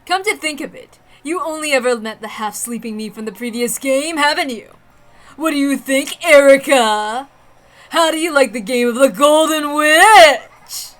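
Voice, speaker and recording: Woman as composed, haughty witch duelist for visual novel drama; slightly high voice, formal and taunting. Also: normal speed, fairly bright tone, faint music behind.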